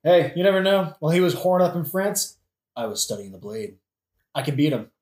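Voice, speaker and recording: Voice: medium pitch, sing-song, a little fast, darkish tone, echoey room, natural voice. A performer playing a flippant, sarcastic, world-weary young prince in a modern comic audioplay, using casual slangy speech. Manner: sardonic, slangy.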